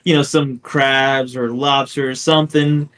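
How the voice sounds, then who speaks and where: A man's voice: mid-range voice, little pitch movement, unhurried, fairly bright tone. A man chatting casually as a podcast co-host, teasing his friends in a giggly, profane way.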